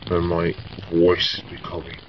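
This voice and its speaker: A man voicing a man turning into a panther, slow and growly, with a beast-like manner. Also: low voice, completely flat delivery, pitched below the performer's own voice. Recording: dull lo-fi home recording with loud hiss.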